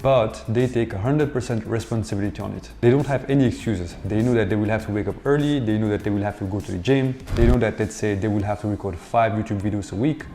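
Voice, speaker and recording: Mellow, low-voiced motivational man. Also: fast talker, few pauses, slight background noise. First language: French and Hindi. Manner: earnest, coaching, often calls the viewer "guys". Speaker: entrepreneur and video host. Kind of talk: motivational talk to camera.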